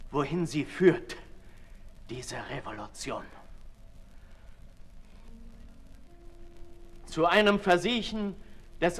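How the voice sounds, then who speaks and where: An actor playing a brooding, disillusioned aristocrat in a 1960s German radio play, declaiming deliberately, from hushed to loud. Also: male voice, fairly high pitch. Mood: disgusted, defiant. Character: Marquis de Sade, brooding disillusioned aristocrat, intense and self-lacerating. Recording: 1960s radio recording in reverberant hall.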